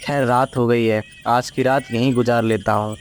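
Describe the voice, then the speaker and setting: A man's voice: medium pitch, steady tone, normal speed, slight background noise, natural voice. A man voicing a sorrowful, luckless poor young village son in a story cartoon, plaintive and earnest. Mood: downcast, resolved. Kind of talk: acted dialogue in a moral story cartoon.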